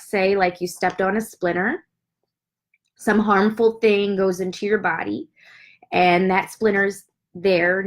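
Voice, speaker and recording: Congested woman doctor, chatty health explainer, talks straight to viewers. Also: medium pitch. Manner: chatty, addresses viewers directly with fillers. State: congested, stuffy nose. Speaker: doctor giving a health talk. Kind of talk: casual educational talk to viewers.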